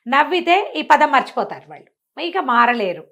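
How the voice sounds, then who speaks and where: Female storyteller, somewhat high voice, informal and chatty narration with explanatory asides. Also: sing-song, moderate pace, fairly bright tone. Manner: conversational, explains with asides and rhetorical questions. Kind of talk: informal spoken bedtime storytelling for a listener.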